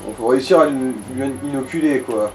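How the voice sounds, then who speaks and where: A man's voice: mid-range voice, brisk. A man co-hosting a let's play, chatting casually and with crude banter over the gameplay. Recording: roomy echo, music behind.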